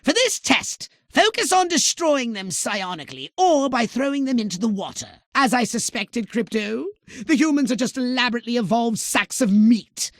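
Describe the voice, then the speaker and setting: An actor voicing a fussy, haughty, scheming alien scientist commander for a video game, with a pedantic, sardonic, theatrical delivery. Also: male voice, extremely high pitch, animated, normal speed, a bit bright, dry recording, studio quality, heavily acted. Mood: scheming, clinical.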